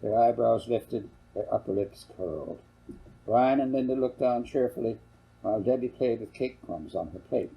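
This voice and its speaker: Guy reading his story out loud, real dark mellow voice, lots of creak. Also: medium pitch, normal speed, poor audio. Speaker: author reading own story aloud. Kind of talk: scripted storybook narration.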